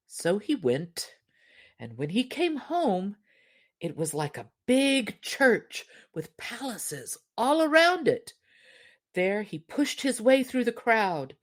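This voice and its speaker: A woman narrating a fairy tale as an expressive storyteller. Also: medium pitch. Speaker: storyteller, podcast reader.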